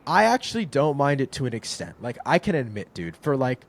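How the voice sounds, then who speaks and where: A man's voice: mid-range voice, wildly swooping pitch, brisk. A young man in casual podcast talk about films, rambling with lots of filler words and restarts. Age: young adult. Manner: rambling, full of "like" and "you know what I mean". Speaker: podcast co-host. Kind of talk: casual film discussion.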